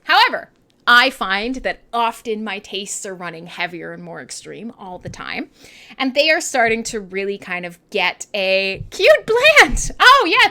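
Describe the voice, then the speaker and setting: A Canadian woman hosts a loose, irreverent music radio show. Her voice sits a little high and has a bright, forceful edge. She rambles playfully and excitably, swinging widely in pitch and swearing freely. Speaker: radio host and metal DJ. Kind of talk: casual, irreverent live radio chat. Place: her own home-style studio.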